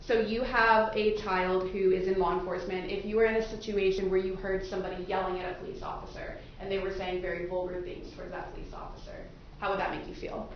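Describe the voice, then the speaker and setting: A woman's voice: mid-range voice, level tone, fast talker, hardly any pauses. A woman attorney questioning prospective jurors in a courtroom, politely probing and repeating their answers back. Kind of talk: courtroom questioning of a jury panel. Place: large indoor courtroom. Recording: very echoey courtroom, distant mic, muffled, faint noise.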